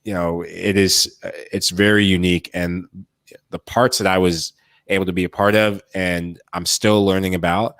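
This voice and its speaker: A man, a former player, co-hosting a casual sports podcast and talking in an earnest, measured way. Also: low voice, level tone, fairly bright tone, dry recording.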